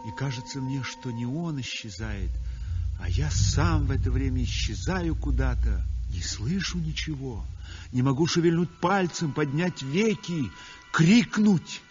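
Actor as slow, haunted painter in long reverb. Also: male voice, mid-range voice, lively intonation, lightly acted. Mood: haunted, dazed. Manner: slow, haunted, intense dramatic monologue. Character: feverish, tormented male painter recounting a nightmare. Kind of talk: 1960s Soviet radio drama acting. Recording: muffled 1960s radio recording, long reverb, music.

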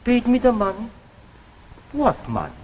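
High-voiced, formal, unctuous middle-aged creditor. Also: male voice, wildly swooping pitch, normal speed, full character voice. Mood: smooth, polite. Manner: formal, obsequious. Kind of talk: 1950s live television drama acting. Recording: muffled, echoing, noisy 1950s TV soundtrack.

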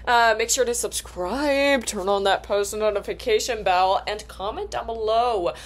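A woman's voice: on the high side, a little slow. A woman in her twenties, a video creator, chatting casually and chirpily to her viewers in a room at home. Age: 20s. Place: small bright room at home, indoors.

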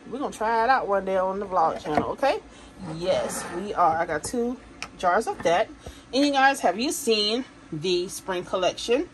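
A woman vlogger chats easily to her viewers about her shopping, calling them "you guys" and "y'all". Her voice sits at an everyday middle pitch. A faint room hum stays underneath. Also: low-quality recording. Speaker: vlogger showing a grocery haul. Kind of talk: casual chat to camera.

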